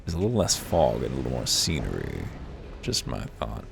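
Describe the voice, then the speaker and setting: A man's voice: rumbling low, wildly swooping pitch, fairly quick, vocal fry, a little hiss or hum. A man hosting a game playthrough with casual, dry, ironic commentary over music. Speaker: host of a voiced game playthrough. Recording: music behind the voice.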